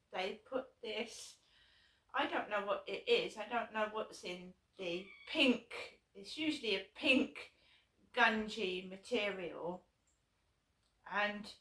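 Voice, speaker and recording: A hoarse woman with a sore throat, telling a rambling personal story to her vlog audience, repeating herself and swearing freely. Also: mid-range voice, a bit bright. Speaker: vlogger. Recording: echoey room, voice from a distance.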